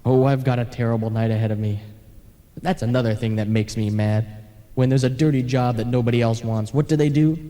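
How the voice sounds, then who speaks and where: A weary, grumbling male ghost, played by a man in a radio play, fed up with haunting. The voice is soft-edged and mellow, sardonic and put-upon, washed in long reverb on a broadcast tape. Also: medium pitch, steady tone, fairly quick, natural voice. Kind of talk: old-time radio play acting.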